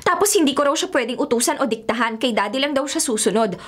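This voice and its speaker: A woman's voice: sits high, expressive, quick pace. A Filipino-accented woman playing a spoiled, headstrong young daughter of a mayor in a radio drama, demanding and petulant. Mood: outraged, whining. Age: young adult. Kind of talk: radio drama acting with Taglish dialogue. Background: music.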